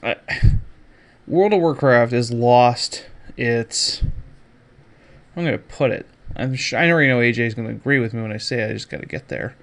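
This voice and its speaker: A man's voice: mid-range voice, moderate pace, vocal fry, a bit bright. Casual, opinionated male gaming podcaster. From Canada. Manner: opinionated, sweary, mocking asides. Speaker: podcast host. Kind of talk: casual gaming news commentary, monologue.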